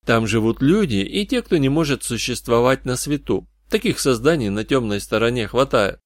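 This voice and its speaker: A man reads a scripted detective fantasy as its first-person narrator. His delivery is measured and literary, in a medium-pitched voice. The recording is dry, with hardly any room sound. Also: lively intonation, no room sound. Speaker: audiobook narrator.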